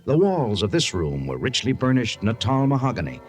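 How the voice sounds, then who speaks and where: A man narrating an adventure serial in a grand, measured old-time radio storytelling style. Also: mid-range voice, very animated, soft background music, lightly acted. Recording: noisy old radio recording.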